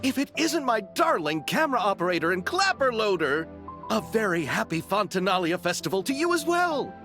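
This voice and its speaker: A man's voice: high-pitched, big pitch swings, a little fast, bright, forceful tone, exaggerated voice. A man voicing a flamboyant, dreamy film producer in a game, speaking in theatrical, florid phrases. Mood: effusive. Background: music.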